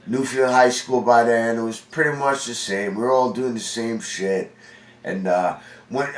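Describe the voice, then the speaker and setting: A forty-year-old man from Long Island, congested, telling his own story in a rambling, profane, streetwise way. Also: medium pitch. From Long Island, New York. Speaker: vlogger. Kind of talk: casual storytelling monologue. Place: indoor room with a window. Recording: roomy, distant from mic.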